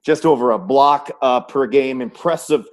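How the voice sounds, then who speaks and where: A man with a medium-pitched voice works as a sports interviewer. He phrases his questions conversationally, as the host of the show. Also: moderate pace.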